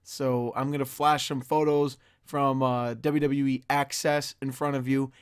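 Hoarse, chatty young man. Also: medium pitch, measured pace. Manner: excited, loose, chatty with filler words. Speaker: wrestling fan vlogger. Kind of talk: casual direct-to-camera intro.

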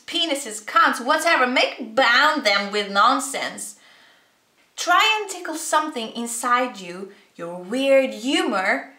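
A young woman giving an expressive, emphatic dramatic reading of a letter. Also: on the high side. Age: young adult. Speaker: video creator reading a letter aloud. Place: small bedroom, indoors. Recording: roomy bedroom echo.

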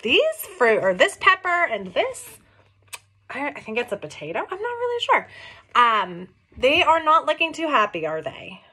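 A middle-aged woman reading a picture book aloud to young children, expressive and questioning, explaining things simply as she goes. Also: high voice, normal speed, slightly rough. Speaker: read-along storyteller for young children. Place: small room at home.

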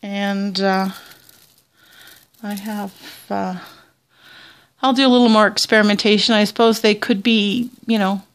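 Slow, chatty Southern Ontario woman. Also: medium pitch, steady tone, clean tone. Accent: Southern Ontario Canadian. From Canada. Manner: chatty, rambling, many fillers and restarts. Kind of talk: casual show-and-tell narration. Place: small indoor tabletop setup.

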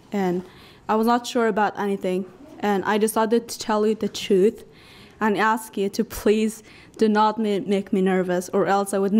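A woman's voice: mid-range voice, darkish tone. Earnest young Afghan woman storyteller. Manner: earnest, emphatic, pausing between phrases. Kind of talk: personal story told on stage. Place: cultural centre stage. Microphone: podium mic on stand.

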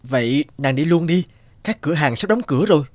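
A man voicing an earnest, gentle young village suitor in a folk-tale cartoon. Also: a little high, animated, a little fast, close to an everyday voice. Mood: tender. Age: young adult.

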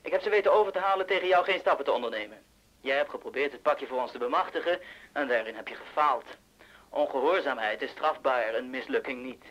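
An actor playing a suave, shrewd Interpol official in a radio drama, measured, urbane and dryly ironic. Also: male voice, medium pitch, fairly bright tone, lo-fi sound, close to an everyday voice. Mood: smooth, stern. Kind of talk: Dutch radio drama acting.

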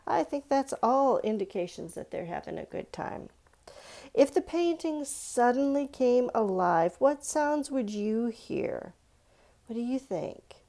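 A woman's voice: a little high, animated, a little slow, dark tone. A woman giving a step-by-step children's drawing lesson, asking simple questions and encouraging young viewers directly. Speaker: children's art teacher. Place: indoors at a stone countertop.

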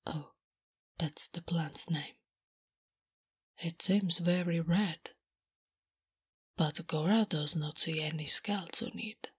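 A woman voicing a big, blunt, smitten and naive female orc in whispered, halting broken English for an ASMR roleplay. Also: low-pitched, a bit bright. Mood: curious, hesitant. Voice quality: breathy, whispery. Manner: halting broken English, speaks of herself in third person. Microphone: close-up ASMR microphone. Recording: close, intimate microphone.

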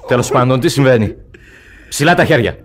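A jumpy young thief, acted by a man in a radio comedy. The mid-pitched voice leaps around in flustered, hesitant bursts. The delivery is theatrical. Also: animated, crowd noise, lightly acted. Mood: alarmed. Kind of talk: Greek radio theatre farce acting.

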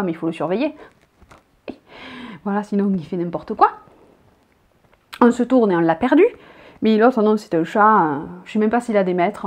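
Chatty southern French woman in her thirties. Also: mid-range voice. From southern France. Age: 30s. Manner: chatty, rambling, reads each question out loud. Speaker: vlogger. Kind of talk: casual chat answering tag questions. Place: bedroom, indoors.

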